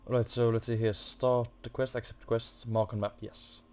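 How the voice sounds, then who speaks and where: A man's voice: mid-range voice, little pitch movement, moderate pace, mellow. A man giving a casual game walkthrough, hesitantly muttering to himself and reading text off the screen. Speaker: gaming video host. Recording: faint noise from a fan. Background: computer or room fan.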